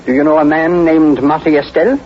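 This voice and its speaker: A man playing a stuffy, middle-aged wealthy gentleman in an old radio drama, speaking formally and precisely. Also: fairly high pitch, fairly even intonation, fairly quick, lightly acted. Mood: anxious, formal. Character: stuffy, wealthy, fussy gentleman client, stepfather. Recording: muffled vintage radio, faint noise.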